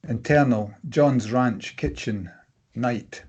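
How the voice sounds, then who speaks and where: A man's voice: mid-range voice, slow, a little mellow, breathy edge, lo-fi sound. A man reading stage directions as scripted narration at a table read.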